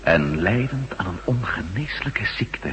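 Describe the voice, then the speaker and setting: A man's voice: deep voice, expressive, a little slow, fairly bright tone. A man acting in a Dutch radio drama as an adventurous reporter, earnest and intense about a discovery. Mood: urgent. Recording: echoey, noisy radio play recording.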